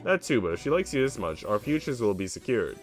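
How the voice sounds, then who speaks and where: A man's voice: mid-range voice, big pitch swings, quick pace, faint music behind, lightly acted. A man voicing a practical, doting housemaid mother in a visual novel, coaxing and affectionate. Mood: pleased. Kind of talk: visual novel dialogue read aloud.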